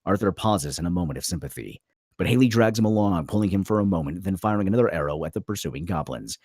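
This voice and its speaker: A man reads stage directions as dramatic narration. His voice is a little low and husky, very animated in pitch, with clipped phrasing and a dry, close recording. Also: fast, dry recording. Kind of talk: dramatic scripted narration at a table read.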